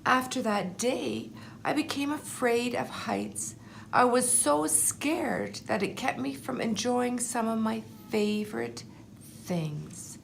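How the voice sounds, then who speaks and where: A woman voicing a humble, anxious but determined egg telling his life story in a storybook, in an earnest, measured way. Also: fairly high pitch, expressive, creaky, a little hiss or hum, exaggerated voice. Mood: wistful, afraid. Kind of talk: storybook first-person narration.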